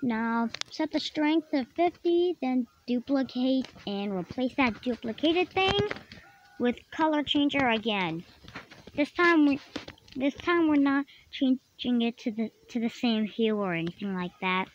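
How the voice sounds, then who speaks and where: A very high-pitched voice hosts a casual software tutorial at a slow pace. The delivery is halting, with phrases often repeated and reworded. The recording is dull and lo-fi. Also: expressive. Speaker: tutorial host. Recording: dull lo-fi microphone, clearly noisy.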